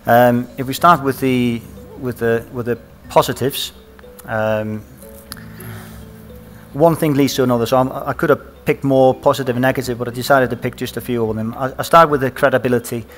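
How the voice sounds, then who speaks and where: Panelist from Iceland, monotone, halting speech with restarts, headset mic on a conference stage, music faintly behind. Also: male voice, mid-range voice. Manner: hesitant, many fillers and restarts. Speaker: panelist, former footballer.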